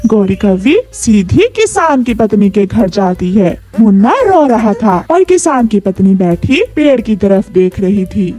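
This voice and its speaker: A woman's voice: medium pitch, sing-song, a little slow, hardly any pauses, mellow, faint music behind. A woman storyteller reading scripted narration for a children's story.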